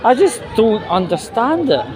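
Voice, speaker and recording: A man with a Scottish accent gives an exasperated, sweary, halting off-the-cuff rant while out walking. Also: extremely high pitch, sing-song. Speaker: vlogger. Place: outdoors, walking. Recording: noisy outdoor street with traffic and crowd.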